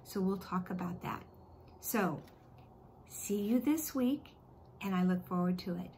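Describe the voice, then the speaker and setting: Kindergarten teacher, American woman in middle age, simple expressive explaining, home recording with slight background noise. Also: medium pitch, a little slow. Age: middle-aged. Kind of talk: read-aloud and chat to young children. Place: living room at home.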